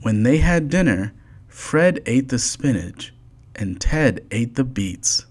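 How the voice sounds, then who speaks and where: Storyteller for a children's bedtime book: unhurried, deliberate, animated intonation. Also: mid-range voice. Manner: slow, deliberate, expressive read-aloud. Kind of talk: scripted children's storybook narration.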